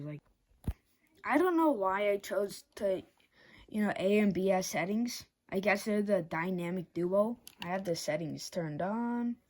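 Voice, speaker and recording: Mellow, rambling amateur video reviewer. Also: medium pitch. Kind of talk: casual amateur product review vlog.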